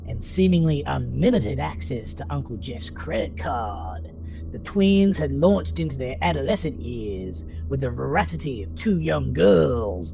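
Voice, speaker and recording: A man narrates a dramatic story with a lively, wide-moving intonation. His voice sits at a middle pitch, with clear music playing behind it. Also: rough recording.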